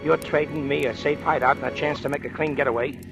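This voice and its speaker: An actor playing a tough, suspicious escaped convict in an old radio crime drama, with blunt gangster slang. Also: male voice, a little high, fairly even intonation, quick pace, vocal fry, music in the background. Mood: grudging, calculating.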